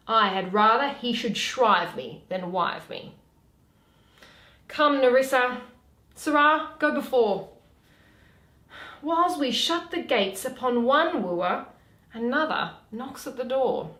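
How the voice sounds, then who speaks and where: A woman's voice: on the high side, fairly bright tone. A woman playing a witty, mischievous young heiress in a theatrical Shakespearean reading, arch and teasing. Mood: dryly teasing. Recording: roomy, echoey home recording.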